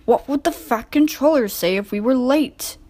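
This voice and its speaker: Woman as a male train driver, practical, brisk, fairly high voice, storybook dialogue. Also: a little mellow. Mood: firm.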